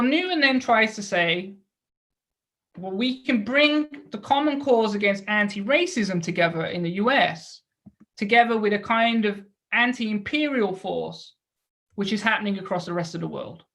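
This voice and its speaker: A woman's voice: mid-range voice, moderate pace, a bit bright. A woman lecturing to an audience in an explanatory way, posing rhetorical questions and adding short check-in tags as she goes.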